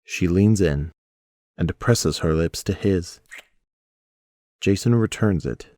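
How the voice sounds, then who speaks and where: A man's voice: low-pitched, slow pace, darkish tone, no room sound, clean studio sound. A man reading scripted narration for an audio drama.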